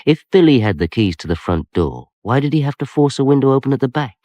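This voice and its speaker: A man's voice: medium pitch, sing-song, quick pace, warm tone, no echo, close to an everyday voice. A man playing a wry, self-doubting police detective, confiding in a radio crime drama. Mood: brooding, wry. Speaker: narrator and lead detective character. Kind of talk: radio crime drama, first-person narration and dialogue.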